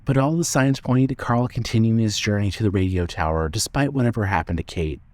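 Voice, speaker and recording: A man's voice: on the low side, fairly quick, darkish tone. A man narrating a scripted dramatic story as an audiobook-style narrator.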